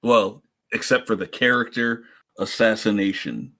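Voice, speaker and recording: Male game player, slightly deep voice, wry, flippant, conversational. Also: unhurried, bright, forceful tone. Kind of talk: casual game chat.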